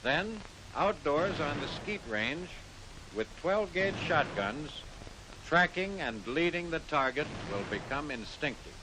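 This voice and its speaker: Lively American man narrating 1940s training film. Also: takes it slowly. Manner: instructive, addressing the trainee directly. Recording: hissy 1940s film soundtrack, rumbling noise.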